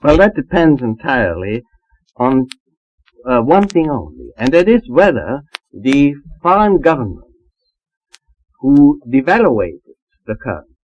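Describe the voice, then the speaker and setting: A man with a fairly high, very lively voice speaks as an expert on a panel. His delivery is formal, measured and emphatic. The sound is dulled, as from an old 78 rpm disc. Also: lo-fi sound. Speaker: foreign trade expert on a discussion panel. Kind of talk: panel interview answers.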